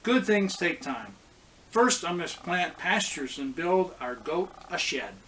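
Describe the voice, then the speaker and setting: A man voicing a hopeful, encouraging village mother in a children's storybook, in a measured way. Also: high-pitched, very animated, a bit bright, a little hiss or hum, heavily acted, poor audio. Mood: patient.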